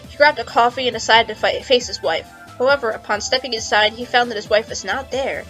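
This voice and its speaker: A woman's voice: a little high, fairly quick, fairly bright tone, rough recording. A woman narrating comedic fan fiction, playful and amused, mixing dramatic reading with casual asides. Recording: music behind the voice.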